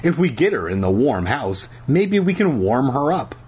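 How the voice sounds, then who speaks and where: A man's voice: medium pitch, big pitch swings, normal speed, a little mellow, slightly rough. A man voicing a panicky, earnest boy hero in a children's scary story, with frantic, urgent exclaiming.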